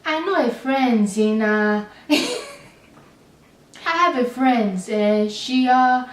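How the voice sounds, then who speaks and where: A woman putting on a chatty Nigerian mum. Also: fairly high pitch. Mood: eager helpful. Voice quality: exaggerated accent, drawn-out "eh" fillers. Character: chatty middle-aged Nigerian mother, helpful. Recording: roomy, slightly distant mic.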